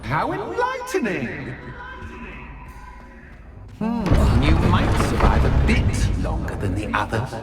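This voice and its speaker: Menacing trickster spirit, video game villain, slow savoring delivery, mock-sweet, taunting, theatrical, hugely swooping pitch. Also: male voice, wildly swooping pitch, full character voice. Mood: mocking, playful. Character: gleeful, menacing male trickster spirit toying with intruders. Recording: loud music behind the voice. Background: loud game music.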